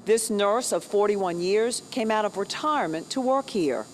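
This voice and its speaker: A woman reporting live for a local TV news station, her delivery polished and measured. She speaks into a handheld mic inside a large convention hall, with faint ambient noise behind her. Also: mid-range voice, expressive.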